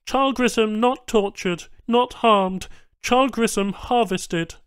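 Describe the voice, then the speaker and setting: A man's voice: sits high, slow, clean tone, no room sound, studio quality, pitched above the performer's own voice. A man voicing a matter-of-fact alien technician in a science fiction audiobook, speaking emphatically in clipped, stilted broken phrases. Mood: emphatic.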